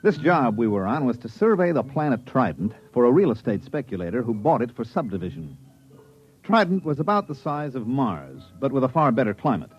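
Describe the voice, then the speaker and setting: An actor playing a wry, skeptical planetary surveyor in a radio drama, narrating and talking in a dry, conversational way. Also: male voice, mid-range voice, very animated, a little fast, breathy edge, soft background music. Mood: dry narration. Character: wry, skeptical male planetary surveyor telling his misadventure. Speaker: narrator and lead character. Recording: muffled old radio drama recording.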